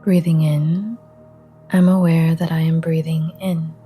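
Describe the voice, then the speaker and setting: A woman guiding a relaxation meditation in a soft, half-whispered, breathy voice, calm and gentle, with long pauses. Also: sits low, faint music behind. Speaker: meditation guide.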